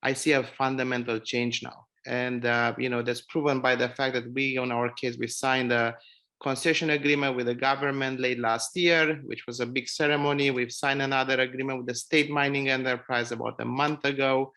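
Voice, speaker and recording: A man speaks as a mining CEO on an online panel call. His voice is bright and forceful at an ordinary pitch. He talks earnestly and often restarts with fillers. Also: level tone, moderate pace. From Romania.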